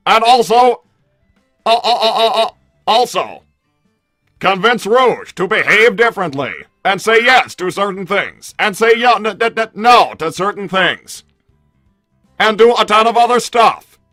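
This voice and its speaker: A man's voice: high voice, expressive, measured pace, bright, forceful tone, no echo, studio quality, soft background music, full character voice. A man voicing a stubborn combat robot with stilted, emphatic declarations, in improvised cartoon roleplay. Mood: emphatic, insistent. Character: blunt, stubborn combat robot, speaks in labelled statements.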